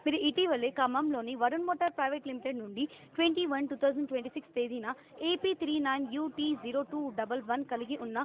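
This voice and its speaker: A woman's voice: high-pitched, fast. A woman working as a customer care caller, reading a scripted survey formally and mechanically on a muffled phone recording. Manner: robotic, formal, rote reading of options. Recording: muffled, band-limited phone call recording.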